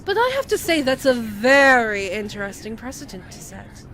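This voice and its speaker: A woman's voice: on the high side, very animated, moderate pace, fairly bright tone, a little hiss or hum. A woman playing a haughty, flippant, volatile god with an arch, theatrical manner in an amateur comic dub. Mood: smug, flippant. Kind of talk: amateur fandub voice acting.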